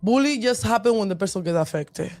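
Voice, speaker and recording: Deep voiced woman, blunt chatty guest, dry close mic. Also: expressive, fairly quick, darkish tone, no echo. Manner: blunt, frequent "you know what I mean". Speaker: interview guest. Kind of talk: casual interview chat.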